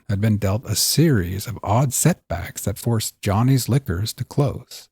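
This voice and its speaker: A man's voice: fairly low pitch, a little mellow, slightly rough, no echo, studio quality. A man hosting and narrating a scripted fiction story. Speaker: host and narrator.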